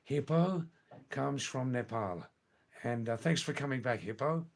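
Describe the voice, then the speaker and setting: An older man hosting a live stream, casually chatting with viewers and answering their comments in a slow, rambling way that repeats words. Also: medium pitch, lively intonation, vocal fry.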